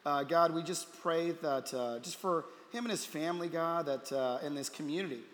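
A man's voice: on the high side, normal speed. A man pastor hosting his church service, joking with the congregation and then praying earnestly, speaking on a stage with a handheld mic. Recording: reverberant hall with audience.

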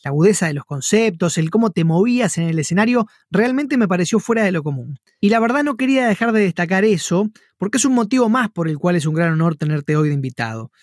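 Higher-voiced man hosting, dry sound. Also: sing-song, quick pace, hardly any pauses, no echo. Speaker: podcast host and interviewer. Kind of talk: scripted podcast introduction and interview questions.

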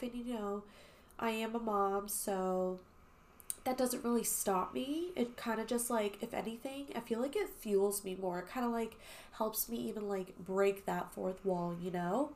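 A young woman hosting a podcast, chatting casually with lots of fillers and swearing, her voice a little nasal and congested. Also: fairly high pitch. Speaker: podcast host. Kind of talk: casual chat.

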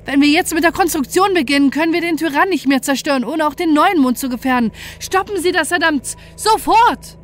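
A woman's voice: high voice, fairly quick, few pauses, close to an everyday voice. A woman playing a defiant, desperate scientist who narrates a horror story in an intense, dramatic way. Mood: horrified, outraged.

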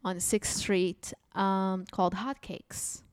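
Portuguese-accented woman, comedian guest, animated chat, dry close sound. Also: mid-range voice, slow, clear, resonant tone, no echo. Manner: animated, chatty, with frequent restarts. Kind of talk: casual chat.

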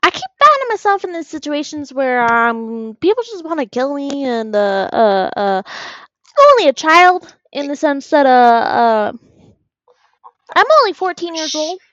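A woman's voice: sits high, very animated, a bit bright, no echo, muffled recording, pitched above the performer's own voice, full character voice. A woman doing a rambling fourteen-year-old girl. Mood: flustered. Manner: rambling, babbling, hurried.

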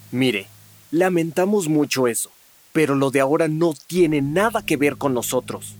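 Casting: conciliatory suspect, lighter higher-pitched voice, pleading, radio drama. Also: male voice, lively intonation, slow. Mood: placating. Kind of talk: audio drama acting.

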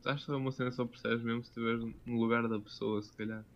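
Very fast-talking young Portuguese man, even tone. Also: mid-range voice, highs cut off. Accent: European Portuguese. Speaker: podcast co-host. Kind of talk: casual chat.

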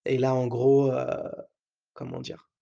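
A man's voice: medium pitch, completely flat delivery, measured pace, gravelly, dark tone, muffled recording. A young man hosting his own podcast in a casual, provocative monologue, joking around in crude slang with lots of fillers and hesitations. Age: young adult. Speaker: podcast host.

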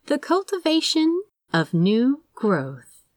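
A woman reading fiction as a podfic narrator, with expressive, dramatic delivery and deliberate phrasing. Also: high voice, noisy background. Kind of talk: expressive scripted story narration.

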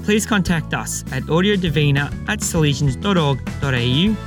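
A man's voice: fairly high pitch, animated, normal speed. A man reading scripted podcast announcements and instructions to listeners. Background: guitar music.

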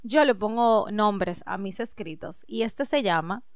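A woman's voice: mid-range voice, animated, unhurried, dry recording. A woman co-hosting a podcast, chatting casually, addressing listeners directly and laughing easily. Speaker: podcast co-host. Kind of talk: casual chat and reading her own writing aloud.

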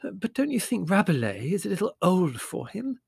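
Storybook mother, played by a man: middle-aged, lifted pitch, gentle, hesitant, soothing and a little vague. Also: big pitch swings, moderate pace, vocal fry, slightly rough, no echo, studio quality, heavily acted. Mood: doubtful. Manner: hesitant, placating, mildly doubtful. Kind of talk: audiobook character voice.

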